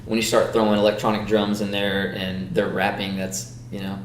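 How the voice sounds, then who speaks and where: A man's voice: fairly low pitch, flat delivery, normal speed. A man speaking as a musician guest in a casual, conversational interview. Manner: informal, conversational. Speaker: country singer, guest. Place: studio with echo. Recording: echoey, distant mic, faint noise.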